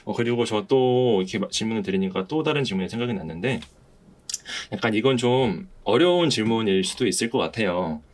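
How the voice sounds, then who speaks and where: A man with a fairly low voice hosts a podcast. He talks casually and earnestly, feeling his way through his thoughts with some hesitation. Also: expressive, fairly quick. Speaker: podcast host. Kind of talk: casual podcast discussion of a play.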